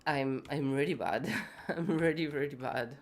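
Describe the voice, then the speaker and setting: Sick female streamer, deep and unhurried, stuffy nose, chatty and unfiltered. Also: a little mellow. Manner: rambling, many fillers, swears casually. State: sick with fever, congested, voice going. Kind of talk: casual live-stream chat while building.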